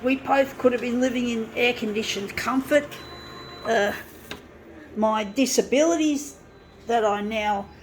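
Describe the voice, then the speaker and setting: A woman's voice: fairly high pitch, a little hiss or hum, poor audio. A woman in her fifties talking in a rambling, self-deprecating monologue, growing frustrated and swearing as she goes. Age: 50s. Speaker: vlogger. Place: indoors, room with a copier.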